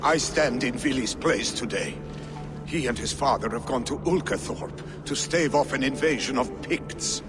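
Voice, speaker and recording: An actor playing a loyal, jovial and proud Norse warrior in a video game, speaking in colorful, declamatory phrases. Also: male voice, medium pitch, moderate pace, lightly acted. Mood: friendly. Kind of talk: dramatic video game acting. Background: music.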